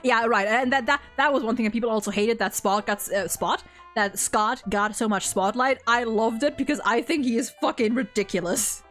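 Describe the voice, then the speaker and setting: A woman hosting a stream, chatting casually and gushing in an animated, blunt, sweary way. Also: fairly high pitch, a little fast, hardly any pauses, faint music behind. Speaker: host of a game ranking stream.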